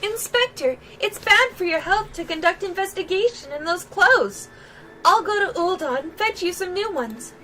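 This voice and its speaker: A woman's voice: very high-pitched, expressive, normal speed, bright, forceful tone, pitched above the performer's own voice, full character voice, rough recording. A woman voicing a perky, eager young female assistant from a video game. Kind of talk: voice-acting of game dialogue.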